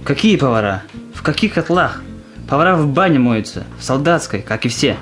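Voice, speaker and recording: A man playing a gruff, impatient soldier who scolds and gives orders in an amateur audio play. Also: mid-range voice, lively intonation, a little fast, a bit bright, soft background music, close to an everyday voice. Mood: impatient, scolding. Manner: scolding, commanding. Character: gruff impatient soldier waiting for his tea.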